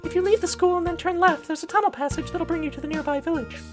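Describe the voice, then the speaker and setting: A woman's voice: very high-pitched, fast, music in the background. A woman playing a timid, naive schoolgirl with a polite, hesitant, nervous manner. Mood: matter-of-fact.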